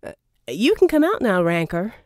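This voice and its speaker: A woman voicing a smug, theatrical male villain tycoon, mellow. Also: mid-range voice, big pitch swings, moderate pace, dry recording, clean studio sound, natural voice. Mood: breezy, needling.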